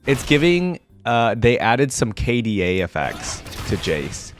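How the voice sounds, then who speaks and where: A man's voice: medium pitch, sing-song, unhurried, faint music behind. A man hosting a reaction video, chatting informally and thinking aloud as he watches. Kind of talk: casual live reaction commentary.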